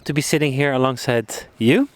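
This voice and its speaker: A man hosting a podcast interview on an outdoor bench, friendly and hesitant, with many pauses and fillers. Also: medium pitch, dry recording. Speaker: podcast host. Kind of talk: casual interview.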